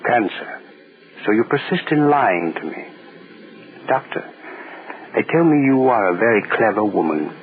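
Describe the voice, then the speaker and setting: An actor playing a pompous, menacing government official in a 1930s radio drama, curt and commanding. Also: male voice, fairly low pitch, lively intonation, unhurried, pauses often, slight background noise. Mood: coolly menacing. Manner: imperious, curt, barking orders. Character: pompous, menacing male state propaganda official. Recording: muffled 1930s radio recording.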